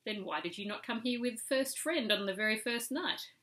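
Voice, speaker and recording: A woman voicing a shrewd, firm cave woman in a children's story, brisk and commanding with a teasing edge. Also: on the high side, lively intonation, a bit bright, voice pushed up. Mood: scornful, dismissive.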